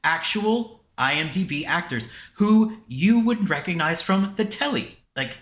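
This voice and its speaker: Bright American man in an echoey room. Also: sits high, expressive, a little slow. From United States. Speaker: podcast co-host. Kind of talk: casual podcast chat. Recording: echoey, roomy sound.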